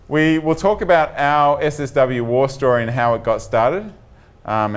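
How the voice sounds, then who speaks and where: Smooth-voiced man opening a tech talk. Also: mid-range voice. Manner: energetic opener, many ums. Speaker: chief architect presenter. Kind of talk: conference talk. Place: meeting room with audience.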